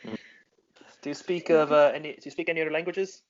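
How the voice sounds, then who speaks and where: A man's voice: fairly high pitch, fairly even intonation, a little fast. Foul-mouthed teasing man, lo-fi. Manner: swears constantly, teasing. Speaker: host asking questions. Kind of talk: casual chat. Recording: dull lo-fi recording.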